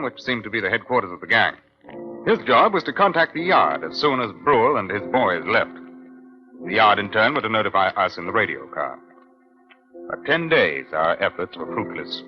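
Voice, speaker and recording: An actor playing a senior British police inspector in an old-time radio crime drama, measured and authoritative with a dry, wry edge. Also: male voice, mid-range voice, natural voice. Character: male senior Scotland Yard police inspector, brisk and wry. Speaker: narrator and lead detective. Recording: dull, lo-fi vintage radio recording.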